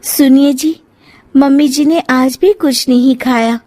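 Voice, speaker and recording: Family tale role: chiding young wife, high-toned, measured, outspoken woman performing. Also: fairly even intonation, warm tone. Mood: concerned. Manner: pointed, reproachful.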